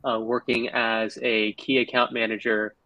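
Man, podcast host, flat monotone delivery, dry close sound, measured pace, hesitant fillers. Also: medium pitch, dry recording, lo-fi sound. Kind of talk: scripted intro then interview questions. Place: Mauritius.

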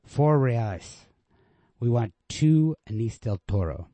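Man reading as an insistent, coaxing man, mellow. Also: medium pitch, animated, takes it slowly, no echo, voice pushed up. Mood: brisk. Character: American man, defensive, insistent, coaxing lover. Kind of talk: storybook read-aloud dialogue.

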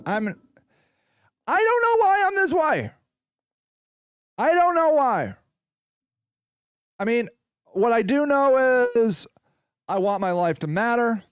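A man hosting a podcast in a loud, profane, ranting monologue. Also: extremely high pitch, big pitch swings, slow pace, long pauses, smooth, no room sound, studio quality. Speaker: podcast host. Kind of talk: casual monologue.

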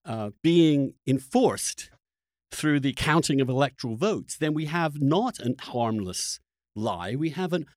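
A man's voice: medium pitch, expressive, unhurried, no room sound, clean studio sound. A man hosting a spontaneous political debate, combative and insistent, stressing his points and stammering into them. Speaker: podcast host.

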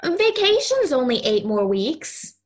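A woman's voice: high voice, big pitch swings, takes it slowly, full character voice. A woman playing a lonely, stubborn ten-year-old girl in an audio play, speaking in an earnest, insistent, childlike way. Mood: curious, insistent.

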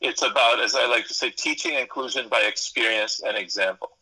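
A man's voice: mid-range voice, a little fast, bright, forceful tone, breathy edge, poor audio. A man whose first language is Spanish, a psychology professor and consultant, explaining ideas in a thoughtful interview, stacking up lists and correcting himself as he goes. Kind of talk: interview answers. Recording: radio interview.

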